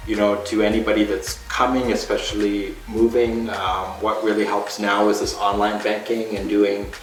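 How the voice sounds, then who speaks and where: A man from the northeastern United States, a guest chatting casually on an interview show, relaxed and full of fillers. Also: fairly low pitch, normal speed. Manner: relaxed, with many fillers and restarts. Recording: echoey room from a distant mic.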